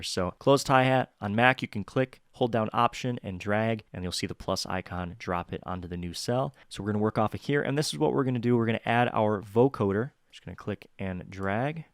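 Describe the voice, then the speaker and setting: A man's voice: on the low side, clean tone. A man hosting a music production tutorial, talking casually and directly to viewers in an upbeat, chatty way.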